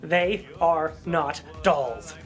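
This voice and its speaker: A woman's voice: deep voice, extremely slow, soft background music. A woman playing a pompous, pedantic male collectibles seller who is grudging and indignant, in a comedic audio drama. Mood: haughty, defensive.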